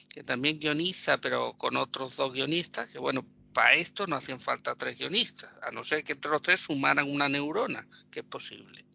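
A man with a gravelly voice, co-hosting a podcast and mocking a film in sarcastic casual chat, laughing often. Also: on the high side, sharp tone. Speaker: podcast co-host. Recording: dull lo-fi podcast audio.

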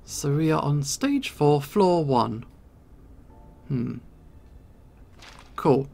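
Higher-pitched man with creaky voice, gaming. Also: expressive, warm tone, faint music behind. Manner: thinks aloud in short, halting remarks. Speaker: video game player and host. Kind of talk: casual let's play commentary.

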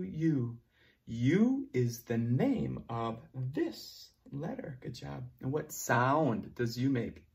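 A young man teaching preschoolers online at a whiteboard, talking slowly and encouragingly in a sing-song way, moving toward and away from the camera. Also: medium pitch, creaky, darkish tone. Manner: slow, sing-song, encouraging teacher talk to small children. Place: classroom at a whiteboard. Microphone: camera close up, moving away to write.

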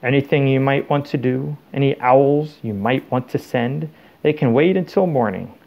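A man's voice: medium pitch, normal speed, vocal fry, a little mellow. A man playing an authoritative old wizard headmaster in an audiobook, formal and firm in his speech.

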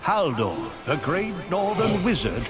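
A man's voice: sits high, big pitch swings, unhurried. A man voicing a wise old wizard mentor in a fantasy video game, grand, solemn and earnest. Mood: solemn, urging. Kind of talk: epic fantasy game narration. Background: loud music.

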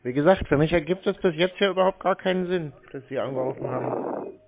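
A man talking on the phone in a deadpan, obtuse, needling manner, baiting a caller. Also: a little high, fast. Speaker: prank call recipient baiting scammers. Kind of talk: phone conversation. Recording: narrow phone-call sound.

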